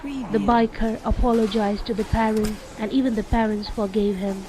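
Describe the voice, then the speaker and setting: A woman with a Nepali accent hosting a children's radio show and reading stories aloud in a deliberate, clearly phrased way for young listeners. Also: medium pitch, fairly even intonation, warm tone, slight background noise. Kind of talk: read-aloud children's storytelling, radio presenting. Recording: dull lo-fi radio audio with music. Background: music.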